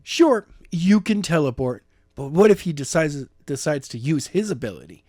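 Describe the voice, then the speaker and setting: A man's voice: on the high side, expressive, moderate pace, a little mellow, no room sound, studio quality, voice pushed up. A man reading a stubborn, defiant young man with powers in his own plain voice, pushing his point insistently. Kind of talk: amateur audiobook reading.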